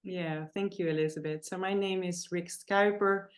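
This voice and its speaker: A woman's voice: lowish voice, fairly even intonation, moderate pace. A woman from the Netherlands, an executive coach, introducing herself in a reflective way on a video call. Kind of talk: online webinar introduction.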